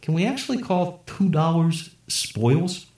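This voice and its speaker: A man's voice: on the high side, big pitch swings, measured pace, darkish tone, roomy sound, voice pushed up. A man voicing Ken, a laid-back teenage stoner who drawls his slang. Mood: drawling.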